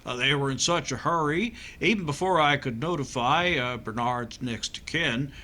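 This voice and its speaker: An actor playing a nervous, dithering doctor who stammers and hesitates with dry humour, in old-time radio comedy style. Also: male voice, medium pitch, normal speed, pushed and bright, close to an everyday voice. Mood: flustered. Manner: hesitant, stammering, dryly comic. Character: nervous, dithering American doctor and husband in comic thriller.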